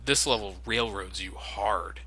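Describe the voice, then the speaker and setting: A man's voice: fairly low pitch, a little slow, bright, forceful tone, husky. A man giving casual, sardonic video game commentary with some swearing.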